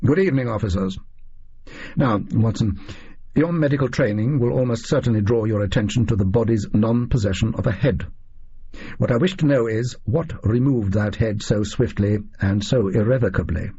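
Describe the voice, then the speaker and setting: Guy doing eccentric english detective for an audiobook, brisk and pedantic, really raspy, slightly muffled sound. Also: fairly low pitch, warm tone. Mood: brisk, curious. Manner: pedantic, didactic, emphatic. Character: brisk, eccentric, self-assured male Victorian detective.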